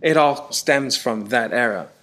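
A man from Canada, a touring musician, chatting in an interview and telling stories with rising excitement. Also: mid-range voice, measured pace. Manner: animated storyteller, voice rising when excited. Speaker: musician guest. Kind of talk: casual interview.

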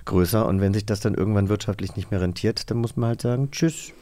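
A man's voice: lowish voice, fast, warm tone. A man with a German accent hosting a podcast, chatting casually and putting questions to his guest. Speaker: podcast host, interviewer. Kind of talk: casual chat.

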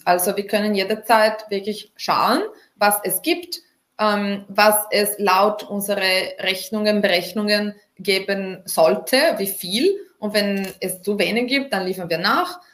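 A woman's voice: medium pitch, a bit bright. A woman from Italy, a startup co-founder answering interview questions at length with many fillers. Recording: roomy echo.

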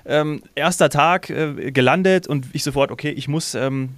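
Male podcast host, from Hesse, lively storyteller, dry close sound, casual chat. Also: fairly high pitch, normal speed, dry recording. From Hesse, Germany. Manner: lively storyteller, acts out his own inner voice.

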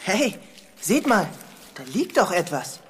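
A woman's voice: medium pitch, big pitch swings, slow pace, a little hiss or hum. A woman voicing an eager young boy toy figure who leads adventures in a German children's audio drama. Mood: excited.

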